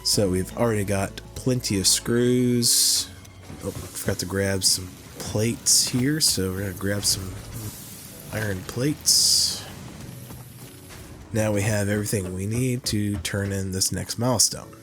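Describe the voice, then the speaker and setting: A man with a cold-stuffed, nasal voice talks through his gameplay at a slow, unhurried pace, thinking out loud. His voice sits fairly low, and music plays clearly behind him. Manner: rambling, thinking aloud, many fillers. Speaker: gaming channel host. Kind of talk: casual gameplay commentary.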